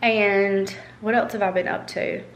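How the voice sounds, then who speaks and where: Young woman, casual rambling vlogger, slightly congested, mid pitch, roomy home echo. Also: fairly even intonation, unhurried. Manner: rambling, upbeat, filler-heavy. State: congested from a cold. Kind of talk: casual chatty vlog.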